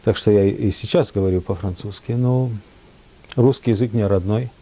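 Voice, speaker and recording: Older man reminiscing, dark low voice. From France. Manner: reflective, unhurried, with frequent hesitations. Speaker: speaker at a memorial. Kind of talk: reminiscing talk.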